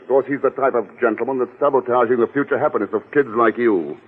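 An actor playing a suave, wry and persuasive secret agent in a 1940s radio drama. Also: male voice, medium pitch, quick pace, slightly rough, natural voice. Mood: insistent, wry. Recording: muffled, narrow-band old radio recording, reverberant.

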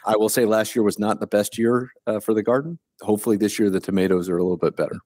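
Retired officer, fifties, from Ohio; low voice, plainspoken, unhurried, remote-call guest. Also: male voice, darkish tone, no room sound, clean studio sound. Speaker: guest, retired Army colonel. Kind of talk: casual interview conversation.

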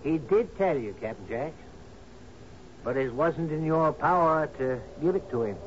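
An actor in a radio drama playing a captain's patient, knowing companion, folksy, deferential and gently insistent. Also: male voice, on the high side, expressive, a little slow. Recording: muffled, hissy old radio broadcast.

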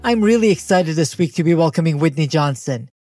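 A man's voice: on the high side, expressive, a little fast, a little mellow, soft background music. A man hosting a leadership podcast, introducing and interviewing a guest.

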